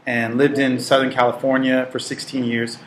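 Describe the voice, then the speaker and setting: A man in his forties, born in Puerto Rico and raised in New York, calmly introducing himself and answering questions about his life. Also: medium pitch, normal speed, a little hiss or hum. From Puerto Rico, raised in New York City. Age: 40s. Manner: relaxed, reflective, answers questions he reads aloud. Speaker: English teacher abroad. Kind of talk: casual self-introduction to camera. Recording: roomy echo with faint ambience.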